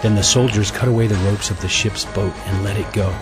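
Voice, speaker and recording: A man narrating a scripture audio drama in a measured, solemn storytelling manner, reading from a script. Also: on the low side, steady tone. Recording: music bed under the voice.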